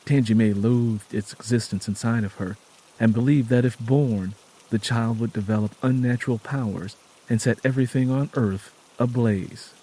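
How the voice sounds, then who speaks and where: A man narrating a scripted story dramatically, in a solemn and deliberate manner. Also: medium pitch, fairly even intonation, mellow, rough recording.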